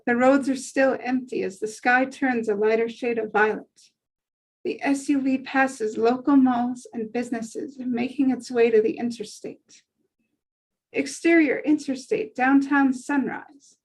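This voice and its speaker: A woman's voice: on the high side, steady tone, unhurried, poor audio. A woman reading screenplay scene descriptions aloud as a narrator at a table read.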